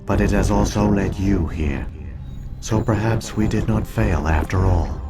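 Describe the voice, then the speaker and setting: Ancient alien computer mind for a sci-fi game male actor: very deep, solemn, formal, coldly logical, over prominent music. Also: lightly acted. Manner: measured, formal, deliberate. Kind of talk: scripted video game voice acting, exposition.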